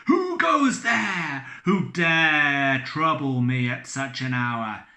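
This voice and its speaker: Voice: medium pitch, takes it slowly, sharp tone, roomy sound, voice pushed up. A storyteller voicing a drunken, melodramatic old sea captain who wails and cries out. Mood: anguished. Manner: theatrical, wailing, anguished.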